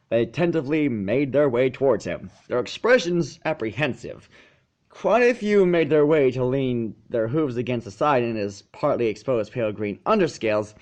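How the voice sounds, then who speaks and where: Male storyteller, mid-pitched and highly animated, dramatic emphasis, expressive read-aloud narration. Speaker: fan fiction narrator.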